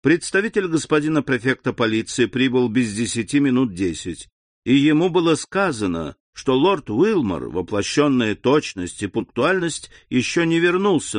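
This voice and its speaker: A man narrating a classic novel as an audiobook, in an even, measured reading on a close studio mic. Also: mid-range voice, no room sound.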